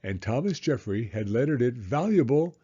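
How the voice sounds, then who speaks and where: A man reading a children's story aloud as an expressive narrator who acts out the dialogue. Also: mid-range voice, measured pace, breathy edge, highs cut off.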